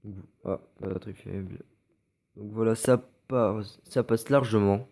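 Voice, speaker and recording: French man, low mellow voice, congested, halting casual game commentary. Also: steady tone, vocal fry. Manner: hesitant, many fillers and restarts. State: stuffy from a cold. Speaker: gameplay commentator. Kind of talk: casual gameplay commentary over recorded matches.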